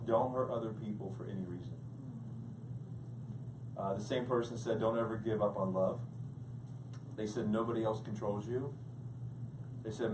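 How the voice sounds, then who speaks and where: A male pastor preaching a humorous sermon, telling jokes in a playful, animated way. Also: fairly low pitch. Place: large church hall. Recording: echoey hall, distant mic, background noise.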